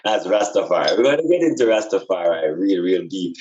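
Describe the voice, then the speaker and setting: A man giving an informal, explanatory spiritual talk in a deliberate, emphatic way, repeating phrases for stress. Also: low-pitched, big pitch swings, a little mellow, dull audio. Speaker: podcast speaker and spiritual teacher.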